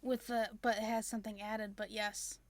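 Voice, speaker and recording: A woman's voice: medium pitch, little pitch movement, moderate pace, dry recording, studio quality. A woman co-hosting a reaction show, chipping in with casual conversational commentary.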